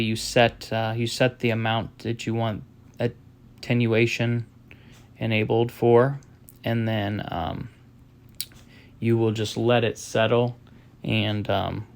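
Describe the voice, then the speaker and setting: A man giving an informal step-by-step tutorial as a product demonstrator, explaining in a halting, matter-of-fact way. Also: fairly low pitch, vocal fry.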